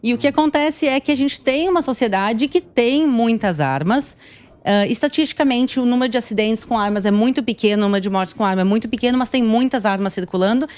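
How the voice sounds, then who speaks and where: A woman in her thirties with a rather high voice speaks with a southern Brazilian accent from Porto Alegre. She tells stories conversationally, as a guest being interviewed. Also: fast talker, darkish tone. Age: 30s. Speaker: tour guide and YouTuber guest.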